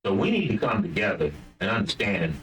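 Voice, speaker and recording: Lively, low-voiced earnest podcast host. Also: male voice, low-quality recording. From United States. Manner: earnest, appealing, measured.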